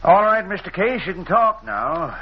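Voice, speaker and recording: A man's voice: sits high, animated, moderate pace. An actor playing a shrewd rural lawman, folksy and plainspoken with a drawl, in a muffled old radio drama. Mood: dry, knowing. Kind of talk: 1940s radio drama acting. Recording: muffled, faintly noisy old radio recording.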